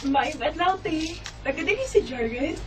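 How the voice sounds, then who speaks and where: A woman's voice: high voice, animated, a little slow, noisy background. A woman with a Cebuano Filipino accent playing an insistent young woman confronting an old lover in an amateur radio drama. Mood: hesitant.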